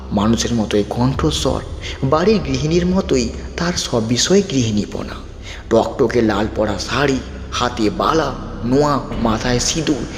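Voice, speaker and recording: A man reading a scripted ghost story as storyteller, with dramatic, measured phrasing. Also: medium pitch, slight background noise. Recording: roomy long reverb, music bed.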